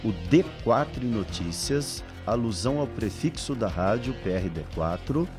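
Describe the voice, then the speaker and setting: A man's voice: fairly low pitch, animated, normal speed. A man with a Brazilian accent reading scripted documentary narration. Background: instrumental music.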